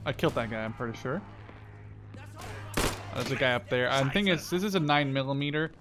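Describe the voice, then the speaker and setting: A man's voice: medium pitch, a little fast. A man in his twenties giving casual, rambling, sweary gameplay commentary. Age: 20s. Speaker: gaming video creator. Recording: game gunfire and artillery noise. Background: shooter game gunfire and explosions.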